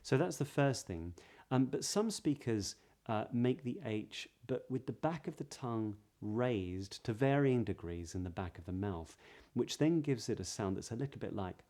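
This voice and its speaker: A man with a southern British English accent giving a pronunciation lesson as a voice coach, explaining clearly and modelling sounds. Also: mid-range voice, lively intonation, normal speed, dark tone. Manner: clear, demonstrative, breathy example sounds.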